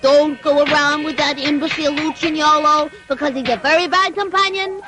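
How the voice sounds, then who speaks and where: A woman playing a naive, polite, excitable little puppet boy in a dubbed classic cartoon, earnest and exclamatory. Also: high voice, brisk, over music, lightly acted. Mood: admiring. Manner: earnest, exclamatory, formal phrasing.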